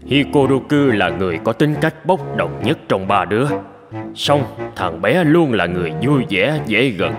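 A man voicing a calm, stern old sword master father in a cartoon, speaking in a measured, authoritative way. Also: on the low side. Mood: calm, pondering. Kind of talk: dubbed cartoon storytelling. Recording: loud music under the voice.

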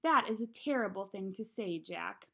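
A woman voicing an earnest, coaxing mother in a storybook, gently insistent and persuasive. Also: medium pitch, lively intonation, moderate pace, creaky, slightly rough, heavily acted.

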